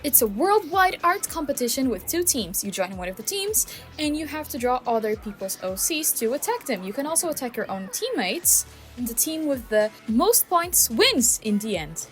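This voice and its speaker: A woman's voice: high-pitched, big pitch swings, moderate pace. A woman co-hosting a casual art commentary in a chatty, encouraging, informal way. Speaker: co-host, digital artist. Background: background music.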